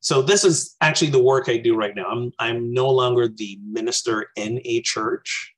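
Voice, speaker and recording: Husky-voiced man in his forties, from Barbados. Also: mid-range voice, normal speed, low-quality recording. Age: 40s. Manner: earnest, reflective, gestures with his hands. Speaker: minister. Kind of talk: spoken talk to a congregation over video call. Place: small room indoors. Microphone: webcam on a video call.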